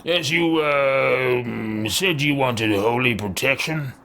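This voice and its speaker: A man's voice: mid-range voice, slow pace, bright, forceful tone, slight background noise. A man roleplaying an earnest, commanding male fantasy warrior from a video game, formal and projected.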